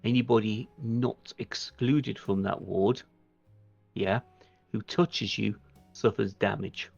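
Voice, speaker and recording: A man's voice: fairly low pitch, fairly even intonation, takes it slowly, creaky, a little mellow, slightly rough, highs cut off, soft background music. A man acting as game master, explaining and narrating a tabletop roleplay, making sure his players follow. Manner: explanatory, checks listeners follow.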